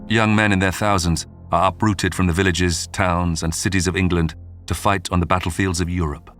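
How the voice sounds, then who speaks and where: A man hosting a history programme, reading scripted documentary narration in a measured, polished storytelling way. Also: deep voice, little pitch movement, a bit bright, faint music behind. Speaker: podcast narrator and host.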